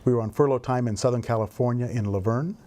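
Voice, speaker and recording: A man's voice: mid-range voice, quick pace, mellow. A middle-aged man who directs a volunteer program, answering interview questions in a thoughtful, rambling way with many fillers. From grew up in Indonesia and Indiana. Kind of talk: conversational interview.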